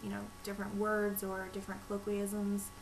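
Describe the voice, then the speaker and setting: Young North Texas woman, chatty vlogger, creaky voice, low-volume webcam mic with audible hiss. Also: monotone, a little fast. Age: young adult. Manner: chatty, frequent ums. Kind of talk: casual talk to camera, reading a word list. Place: small room at home, indoors. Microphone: webcam microphone, low volume.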